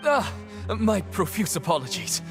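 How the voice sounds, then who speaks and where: An actor playing a nervous, fawning prison inmate who stammers and grovels, in video game dialogue. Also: male voice, high-pitched, huge pitch swings, unhurried, over music, heavily acted. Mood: flustered. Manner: stammering, anxious, obsequious.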